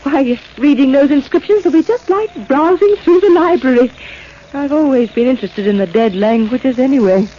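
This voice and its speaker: A woman's voice: sits high, normal speed, dark tone, lightly acted. A woman playing a wry, plucky lady sleuth's companion in a 1940s radio drama. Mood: wry bravado. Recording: muffled, hissy old radio recording.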